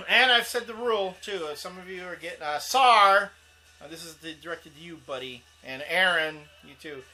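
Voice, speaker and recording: A man's voice: high voice, moderate pace, sharp tone, soft background music, rough recording. A man, co-hosting a casual comics chat show, rambling with plenty of fillers. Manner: rambling, laughs often, many fillers. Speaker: co-host.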